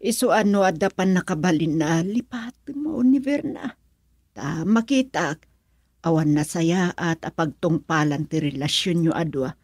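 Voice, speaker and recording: Husky, low-voiced worried mother played by a woman. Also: unhurried, a little mellow, no room sound, studio quality. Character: worried, protective poor mother of a grown son. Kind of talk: radio drama acting.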